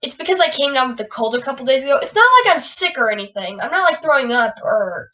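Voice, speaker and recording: A woman hosting a wrestling podcast, raspy and stuffy from a cold, moving from an announcer-style welcome into loose rambling chat full of fillers. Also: high-pitched, lively intonation, quick pace, sharp tone, distant mic, highs cut off. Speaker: podcast host. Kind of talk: wrestling news commentary.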